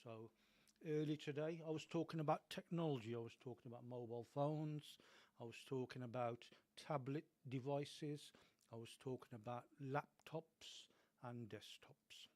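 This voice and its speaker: A man's voice: mid-range voice, moderate pace, darkish tone. Man presenting with a slightly dry voice. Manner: hesitant, many ums and uhs. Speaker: radio show presenter. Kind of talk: unscripted radio talk. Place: radio studio.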